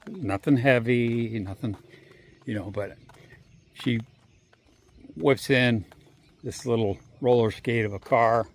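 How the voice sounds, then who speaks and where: Man, gravelly voice, slow drawl, walking outside, phone mic, faint outdoor hiss. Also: medium pitch. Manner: rambling, profane, drawn-out pauses. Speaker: vlogger. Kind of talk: casual walking monologue. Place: outdoors on a walk. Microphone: handheld phone.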